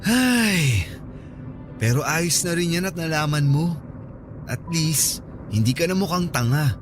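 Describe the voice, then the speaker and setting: Casting: lovestruck office friend in radio drama, fairly high voice, earnest, coaxing, clear music bed. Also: male voice, lively intonation, unhurried. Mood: comforting. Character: earnest, flirty male coworker smitten with his friend. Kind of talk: Filipino radio drama acting. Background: background music.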